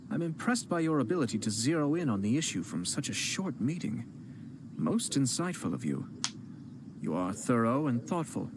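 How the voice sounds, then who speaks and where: A man's voice: medium pitch, background noise, lightly acted. Man voicing a suave noble commissioner. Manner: polished, courteous, measured. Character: composed noble male commissioner, gracious. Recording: game audio with music underneath. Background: game music.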